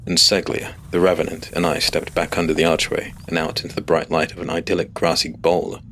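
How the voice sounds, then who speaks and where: A man giving a measured, serious scripted narration of a fantasy story as its first-person hero. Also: extremely low pitch, little pitch movement, a bit bright, slight background noise.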